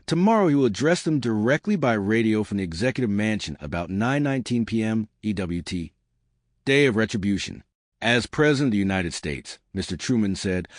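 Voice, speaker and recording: A man reads old newspaper reports as a narrator, in a formal, measured, scripted news delivery. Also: lowish voice, no echo, studio quality. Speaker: narrator reading newspaper articles. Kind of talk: scripted news reading of archival headlines.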